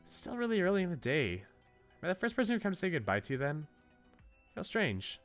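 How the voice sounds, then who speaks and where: A man voicing a wistful, gentle young woman from a visual novel, in a musing manner. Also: sits high, huge pitch swings, fast talker, voice pushed up. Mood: curious, friendly. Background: game music.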